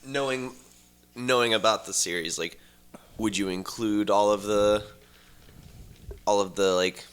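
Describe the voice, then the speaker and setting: A man co-hosting a podcast, pitching ideas casually in a halting, tentative way. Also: steady tone, a bit bright, smooth. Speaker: podcast co-host.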